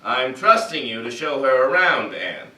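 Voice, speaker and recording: A man's voice: medium pitch, sing-song, moderate pace, very noisy, lightly acted. A man playing a breezy, commanding theme park boss in a staged cartoon reading. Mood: instructing. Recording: echoey room, distant mic.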